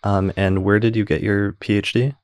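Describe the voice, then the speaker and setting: Podcast host, male; deep, even voice, probing clarifying questions, dry close sound. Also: normal speed, dry recording. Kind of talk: casual interview.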